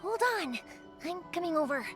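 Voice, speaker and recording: A woman's voice: very high-pitched, lively intonation, normal speed, music in the background. A woman playing a cheerful, caring young fireworks maker in a video game, chatty, earnest and reassuring. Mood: hushed urgency. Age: young adult.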